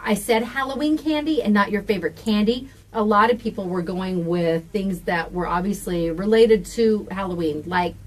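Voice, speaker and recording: A woman's voice: mid-range voice, moderate pace, few pauses, rough recording. A woman co-hosting a casual live broadcast, talking in a chatty, playful way. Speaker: show co-host.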